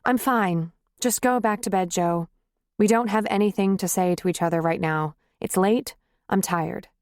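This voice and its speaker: A woman's voice: mid-range voice, moderate pace, a little mellow, dry recording, studio quality, lightly acted. A woman voicing a weary, fed-up wife who is grieving and angry, speaking in raised, heated tones. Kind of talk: audiobook character reading.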